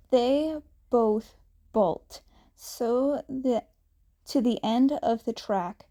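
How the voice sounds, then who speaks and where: A woman reads a fanfiction story aloud at a slow, halting pace, with frequent restarts. Her voice sits a little high but sounds soft and mellow. She lifts her pitch sharply whenever she speaks a character's lines. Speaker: fanfiction reader.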